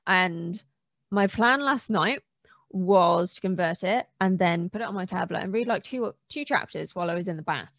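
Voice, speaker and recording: A woman's voice: fairly low pitch, moderate pace, fairly bright tone, no echo. A woman co-hosting a podcast in casual, conversational chat. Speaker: podcast co-host.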